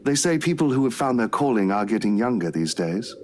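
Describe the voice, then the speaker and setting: An actor playing a courteous, youthful priest in a visual novel, speaking formally and gently preaching. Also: male voice, medium pitch, big pitch swings, fairly quick, a little hiss or hum, natural voice. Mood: genial. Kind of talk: scripted visual novel voice acting.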